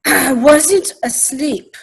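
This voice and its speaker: Squeaky, drawling, sleepy little dormouse. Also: female voice, heavily acted. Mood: sleepy, defensive. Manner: slow, drawn-out. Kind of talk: readers' theater of children's classic.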